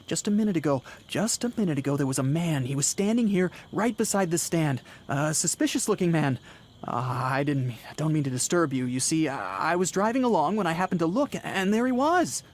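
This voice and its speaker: A man's voice: fairly high pitch, animated, a little fast, close to an everyday voice. An actor playing a haunted, increasingly frantic driver in a radio play, brooding as he narrates and stammering when agitated. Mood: pleading, agitated. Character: haunted, increasingly frantic man driver recounting his cross-country journey. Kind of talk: dramatic first-person radio play narration and acting. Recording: loud background noise with vehicle ambience.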